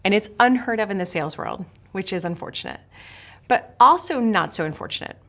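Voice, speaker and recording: Woman, from switzerland, sales trainer, direct and emphatic, scripted lesson to camera, mid-pitched voice. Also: animated, fairly quick, a bit bright. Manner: emphatic, direct, addresses viewer. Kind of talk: scripted instructional talk to camera.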